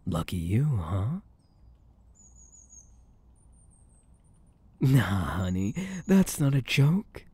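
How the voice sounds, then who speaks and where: Man playing a smooth-talking, dark-voiced possessive mafia boss. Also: on the low side, very animated, slow, no echo, exaggerated voice. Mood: smug. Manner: teasing, drawling, menacingly sweet with pet names. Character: smug, possessive, flirtatious male mafia boss. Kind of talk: intimate ASMR roleplay acting.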